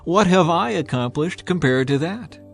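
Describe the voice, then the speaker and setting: A man voicing a bold, stern Israelite war leader with forceful, declarative oratory in a dramatized audiobook. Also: on the high side, expressive, moderate pace, gravelly, soft background music, pitched above the performer's own voice. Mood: placating.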